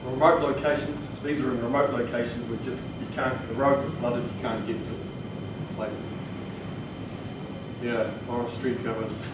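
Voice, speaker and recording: A man's voice: medium pitch, steady tone, normal speed. A man with a New Zealand accent giving an informal technical presentation in a plain-spoken, hesitant way. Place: indoor training room. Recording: echoey room, distant mic, noisy.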